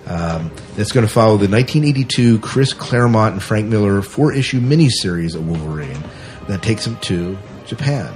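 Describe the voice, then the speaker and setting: A man with a fairly low voice hosts a podcast in a relaxed, conversational style. He chats informally, with some music behind him. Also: moderate pace. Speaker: podcast host. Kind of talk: casual conversational podcast chat.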